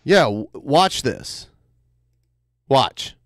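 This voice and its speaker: A man's voice: mid-range voice, very animated, very slow, no room sound, studio quality. A man making casual reaction commentary as a podcast co-host.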